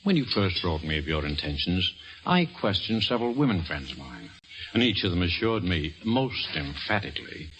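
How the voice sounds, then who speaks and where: An actor playing a cautious, paternal older doctor in an old-time radio drama, with a measured, slightly patronizing manner. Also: male voice, low-pitched, big pitch swings, lo-fi sound, background noise. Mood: cautioning, paternal. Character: paternal older male doctor, cautious but fond mentor.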